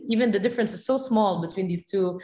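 A woman's voice: mid-range voice, quick pace, mellow, reverberant. A woman in her thirties, a film director from Lebanon, talking candidly and thoughtfully in an interview over a video call, with many "you know"s. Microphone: video call, webcam.

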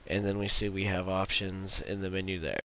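Low-voiced man with bright edge, over hiss. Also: fairly even intonation, brisk, rough recording. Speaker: tutorial presenter demonstrating own script. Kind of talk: casual screen-recorded software demo. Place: voice-over for a screen recording. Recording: hissy voice-over recording.

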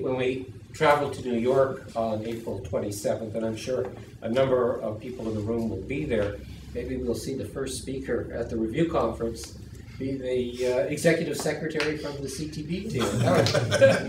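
A middle-aged man informally moderating a seminar discussion. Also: medium pitch. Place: small conference room, seated at table. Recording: echoey room, distant mic, clear noise.

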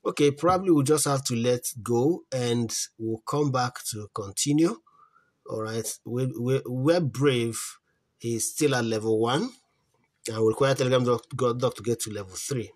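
Husky man thinking aloud over an app. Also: mid-range voice, moderate pace, darkish tone. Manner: thinks aloud, trailing off and restarting while searching menus; earnest advice with repeated pleas. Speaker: crypto app tutorial host. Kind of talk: casual screen-recorded walkthrough. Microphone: voice-over on a phone screen recording.

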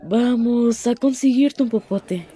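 A woman's voice: on the high side, slow pace, dark tone. A woman with a Latin American accent voicing a cool, elegant female cat, dry and teasing, in a cartoon dub. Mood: amused teasing.

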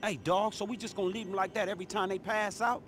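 A man's voice: high voice, steady tone, fast, a bit bright. A man playing an irritable, skeptical, foul-mouthed street-raised guy in a video game cutscene, with an American accent and curt, slangy delivery. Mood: doubtful. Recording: clear vehicle and street noise.

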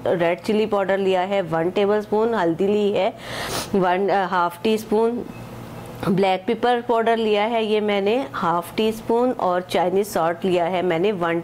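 A woman's voice: medium pitch, a little mellow, slight background noise. Chatty woman, home cooking voice-over. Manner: chatty, repeats "acha ji" and "ye dekhen", mixes English measures into Hindi-Urdu. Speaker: home cooking channel host. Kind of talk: casual step-by-step cooking instruction.

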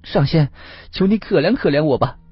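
A man's voice: fairly high pitch, animated, normal speed, breathy edge, no echo, lo-fi sound, soft background music, voice pushed up. A man voicing a frightened male fox spirit in Taoist form, pleading and panicky in an audiobook. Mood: begging. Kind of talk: audiobook character acting.

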